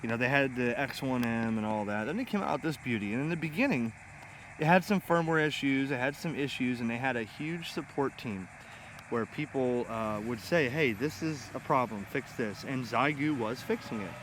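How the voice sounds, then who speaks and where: A man's voice: medium pitch, normal speed. A middle-aged American man talking casually and chattily, as an amateur radio vlogger demonstrating gear. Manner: casual, chatty, spells call signs phonetically. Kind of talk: casual demonstration to camera with radio contact calls. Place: outdoors in a grassy yard by a pond. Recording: loud outdoor ambient noise.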